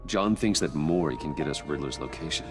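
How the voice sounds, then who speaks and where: An actor playing a brooding, serious vigilante in a video game, measured and grimly determined. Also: male voice, rumbling low, expressive, over music. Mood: grimly focused. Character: brooding male billionaire vigilante, adult, grimly determined and analytical.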